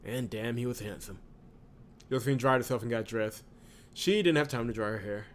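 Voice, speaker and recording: A man's voice: mid-range voice, brisk, a little hiss or hum. A man hosting a visual novel playthrough, reading the narration aloud and reacting casually. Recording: loud game music behind voice. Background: game music.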